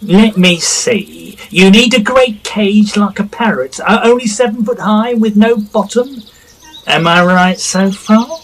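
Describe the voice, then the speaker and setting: A man acting a practical, obliging village smith in an audio drama, businesslike and measured. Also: sits high, animated, rough recording.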